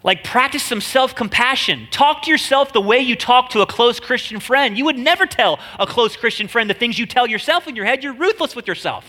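A man's voice: very high voice, lively intonation, brisk, hardly any pauses, pushed and bright. A man with a nasal voice, a guest preacher giving a casual, joking sermon full of slang. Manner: chatty, joking, slangy delivery.

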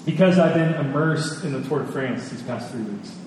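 A man preaching an expository sermon, earnest and emphatic, with dramatic pauses and repetition. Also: fairly high pitch. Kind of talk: expository sermon with scripture reading. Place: large reverberant indoor hall. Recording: distant, echoey large hall, faint noise.

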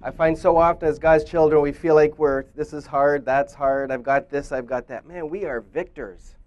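A man's voice: fairly high pitch, moderate pace, muffled recording. A middle-aged man giving a sermon, telling personal stories in a conversational way and voicing his own thoughts as he goes. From grew up in Indonesia. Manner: conversational, animated storyteller who acts out his own thoughts. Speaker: preacher, former missionary.

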